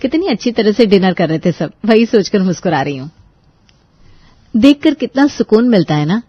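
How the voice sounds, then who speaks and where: A woman's voice: medium pitch, big pitch swings, normal speed, slightly rough, no room sound, highs cut off. Woman playing an eager-to-please young daughter-in-law. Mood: eager, obliging.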